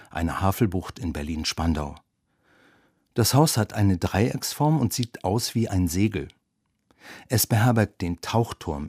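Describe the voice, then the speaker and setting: Man, reporter, scripted narration, very low voice, dry recording. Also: darkish tone, dry recording. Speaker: reporter narrating a radio feature.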